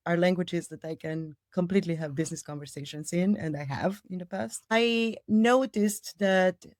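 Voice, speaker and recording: A middle-aged woman from Romania, Romanian her first tongue, speaking in English in a fairly low voice. She answers at length, repeats words for emphasis and drifts into detours. The recording is dry and close, with no room sound. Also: moderate pace, darkish tone, dry recording. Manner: expansive, digressive, emphatic repetition. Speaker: people operations director, guest. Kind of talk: interview answers.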